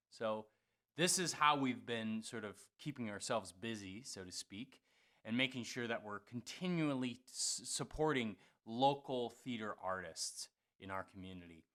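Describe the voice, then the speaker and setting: Bright, forceful man making a casual appeal. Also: mid-range voice. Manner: informal, halting, apologetic. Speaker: theater show host.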